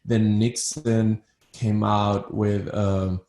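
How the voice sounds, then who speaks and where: A man from Ecuador speaks with a fairly low voice as an interview guest. He talks thoughtfully and often repeats words while starting a sentence. The recording has a noticeable roomy echo. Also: level tone, very slow, a little mellow. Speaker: guest, psychiatrist. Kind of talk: interview conversation.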